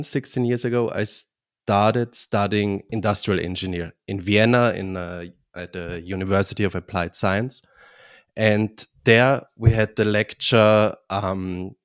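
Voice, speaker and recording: Casting: Austrian man, lowish voice, guest on a podcast, halting with restarts. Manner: halting, many restarts and fillers. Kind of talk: podcast interview.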